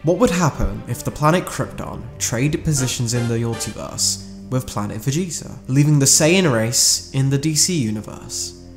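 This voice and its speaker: A man's voice: mid-range voice, animated, normal speed. A man narrates a scripted fan commentary in a conversational way, with wry asides. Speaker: video essay narrator. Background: background music.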